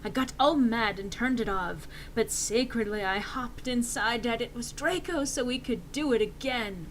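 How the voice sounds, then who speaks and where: A woman's voice: a little high, normal speed, slight background noise, poor audio. A woman giving an exaggerated dramatic reading of fan fiction in a theatrical manner. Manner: theatrical, swinging into shouts for quotes.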